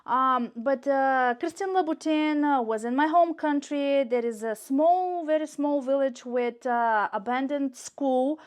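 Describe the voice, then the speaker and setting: A woman's voice: high voice, unhurried, few pauses. A woman with a Bulgarian accent, native in Bulgarian, chatting casually to camera as a shopping vlogger, gushing and repeating words for emphasis. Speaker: YouTube shopping and unboxing vlogger.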